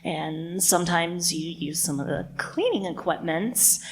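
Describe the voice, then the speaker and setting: A woman's voice: on the low side, unhurried, slight background noise. A woman playing a nervous, apologetic cleaner in a radio play, stammering and deferential.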